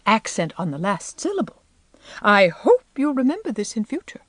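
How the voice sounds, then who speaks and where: A woman's voice: medium pitch, sing-song, moderate pace, no room sound, pitched above the performer's own voice. A woman voicing a haughty, affected society mother in a storybook, indignant and insistent, with pompous, emphatic delivery. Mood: haughty, annoyed. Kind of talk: audiobook character voice.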